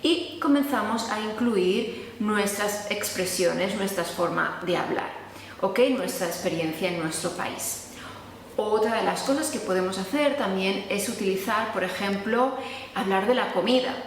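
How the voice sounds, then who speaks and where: A woman's voice: medium pitch, measured pace, slight background noise. A woman from Spain with a Spanish accent, teaching a casual online lesson and acting out sample dialogues. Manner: explanatory, acts out sample dialogues. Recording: echoey room with long reverb.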